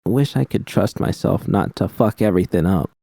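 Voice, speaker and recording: A man narrating first-person fiction in a steady, plain reading voice. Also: sits low, moderate pace, gravelly, no room sound. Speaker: audiobook reader.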